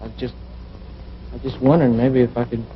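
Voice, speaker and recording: A man's voice: mid-range voice, little pitch movement, moderate pace, close to an everyday voice. An actor playing an earnest, awkward nineteen-year-old small-town boy, hesitant and mumbling, in a 1950s television drama. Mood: nervous, defensive. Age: young adult. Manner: hesitant, mumbling, repeating himself. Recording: muffled old TV film, faint noise.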